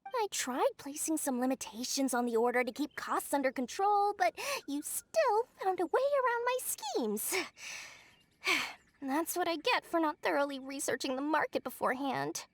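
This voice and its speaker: High, swooping, exasperated young merchant. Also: female voice, expressive, moderate pace, dry recording. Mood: sheepish. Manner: hesitant, sighing, theatrical. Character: thrifty, dramatic young female scholar-merchant, easily exasperated. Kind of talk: video game cutscene acting. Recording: music behind the voice.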